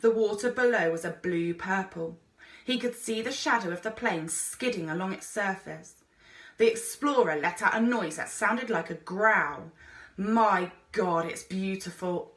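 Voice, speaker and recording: A woman reading a children's adventure story aloud as an expressive narrator, like a teacher reading to a class. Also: mid-range voice, moderate pace. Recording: echoey room.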